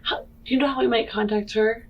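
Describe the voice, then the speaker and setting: A woman playing a tabletop roleplaying game, speaking in character in a deliberate, earnest, questioning way. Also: a little high, fairly even intonation, breathy edge, muffled recording.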